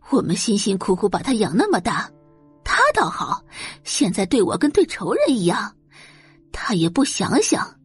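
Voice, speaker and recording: A woman's voice: mid-range voice, breathy edge, exaggerated voice. A woman voicing an angry, scornful middle-aged mother in an audio novel, huffing and ranting indignantly. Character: angry, scornful middle-aged adoptive mother, doting on her own daughter.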